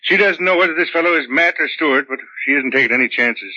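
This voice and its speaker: An actor playing a cynical, weary police detective with a blunt, sardonic manner in a 1940s radio drama. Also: male voice, fairly high pitch, fast, pushed and bright. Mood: dry, resigned. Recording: dull lo-fi 1940s radio recording.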